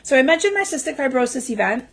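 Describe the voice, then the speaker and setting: Slightly raspy woman, chatty live host. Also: sits high, big pitch swings, quick pace, a bit bright. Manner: chatty, lots of ums and restarts. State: slightly raspy from a lingering cough. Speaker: crafting livestream host. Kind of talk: casual live-stream chat. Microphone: livestream mic.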